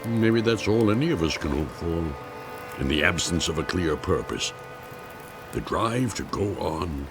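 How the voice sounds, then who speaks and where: An actor playing a calm, cryptic, philosophical male witness in a video game drama, measured and enigmatic. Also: sits low, over music.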